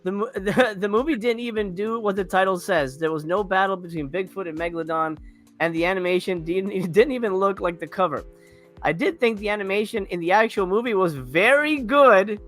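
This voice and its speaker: Bright, high-pitched man hosting a panel. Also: faint music behind. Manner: halting, rambling. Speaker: host of a movie review panel. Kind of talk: casual panel chat.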